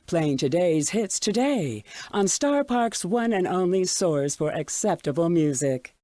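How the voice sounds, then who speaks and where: Eerily cheerful station announcer for radio ads; soft mellow tone, dry booth sound. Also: female voice, on the low side, expressive, measured pace, dry recording. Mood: eerily soothing.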